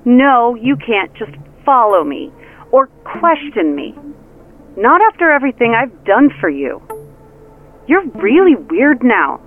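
Exasperated female co-worker, curt and sarcastic, unhurried but very animated, muffled phone filter, music underneath. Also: a little high. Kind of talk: naturalistic audio drama acting.

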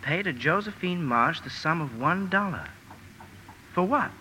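An actor playing an eager, teasing young romantic, in the emphatic manner of old-time radio drama. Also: male voice, a little high, unhurried. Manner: animated, emphatic. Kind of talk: 1940s-50s radio drama acting. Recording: noisy vintage radio broadcast recording.

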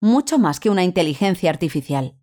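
Actress as a low-pitched, guarded woman astronaut. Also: sing-song, brisk, mellow. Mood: defensive. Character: composed, guarded Spanish woman astronaut turned university researcher. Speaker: interview guest. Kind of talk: scripted fictional interview.

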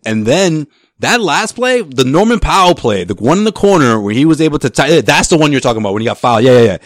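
An animated man in casual sports talk, his pitch swinging widely as he argues. He laughs often and curses freely, recorded dry and close. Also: fast, no echo. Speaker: sports podcast co-host.

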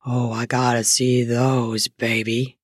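A woman voicing a confident, eager adult male lover in an erotic audio story, murmuring low and slow. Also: flat delivery, no echo, clean studio sound, full character voice. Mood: lustful.

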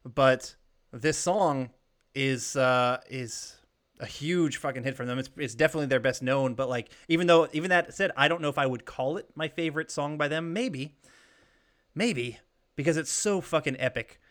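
A man's voice: medium pitch, normal speed, a bit bright. A man co-hosting a casual podcast chat, talking enthusiastically and swearing casually. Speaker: podcast co-host.

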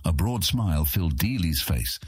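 A man's voice: sits low, big pitch swings, moderate pace, slightly rough, dry recording, clean studio sound. A man reading scripted narration for a fantasy audiobook.

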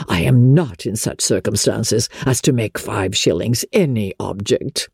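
A woman's voice: rumbling low, dark tone, husky, no echo, studio quality, exaggerated voice. A woman voicing a pompous, wordy, self-satisfied clergyman in a classic novel reading. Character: pompous, self-satisfied clergyman, man, obsequious to his patroness.

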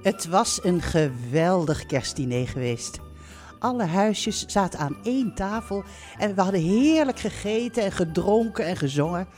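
An actress playing a bossy, warm-hearted middle-aged mother who runs a holiday park, in a chatty, theatrical live audio drama style. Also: lowish voice, animated, a little slow. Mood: narrating, content. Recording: live theatre recording with music.

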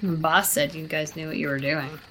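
A woman's voice: low-pitched, fairly even intonation, fairly quick, fairly bright tone, a little hiss or hum. Woman playing a snarky young male recruit. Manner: sarcastic, talks back.

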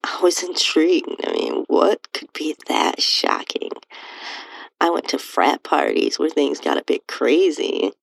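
Woman, erotic story narration, husky and breathy, creaky fry, expressive swooping pitch, intimate soft delivery. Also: dry recording, low-quality recording. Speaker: podcast host narrating own stories. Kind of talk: first-person erotic audio story narration.

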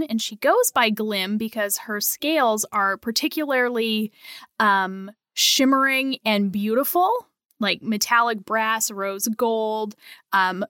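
A woman's voice: fairly high pitch, pushed and bright, no echo, clean studio sound. A woman chatting casually as a player on a tabletop game podcast. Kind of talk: casual chat.

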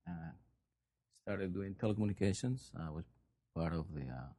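A man's voice: deep voice, normal speed. A man in his forties from Peru, a guest chatting easily and joking about his life and work. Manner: relaxed, joking. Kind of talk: casual chat.

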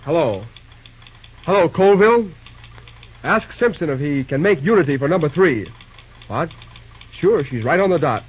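An actor playing an earnest, plain-spoken young railroad dispatcher in an old-time radio drama. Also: male voice, a little high, expressive, normal speed, pauses often, slightly rough. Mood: businesslike. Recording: muffled old radio recording, faint noise.

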